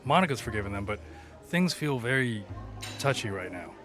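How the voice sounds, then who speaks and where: An actor playing an earnest, mild-mannered young peacekeeper, polite and tactful, in a comedy audio drama. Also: male voice, mid-range voice, sing-song, a little fast, over music, lightly acted.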